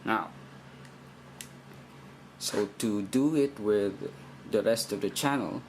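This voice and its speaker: A man's voice: on the low side, slow. A man giving an unscripted, hands-on technical demonstration, thinking aloud with hesitant phrasing. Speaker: radio programming demonstrator. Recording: noisy amateur recording, steady background noise.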